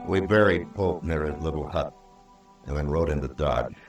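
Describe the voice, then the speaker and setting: Very deep-voiced stern Old West marshal. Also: male voice, faint music behind. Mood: somber narrating. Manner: measured, terse, dryly sardonic. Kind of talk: old-time radio western acting and narration. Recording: distant, roomy old radio recording.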